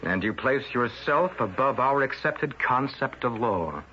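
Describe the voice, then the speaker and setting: A man's voice: mid-range voice, expressive, measured pace, lightly acted. An actor playing a blunt, defiant, indignant male reporter in a radio drama. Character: indignant, hard-boiled male newspaper reporter. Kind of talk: 1970s radio drama acting. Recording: muffled old radio broadcast.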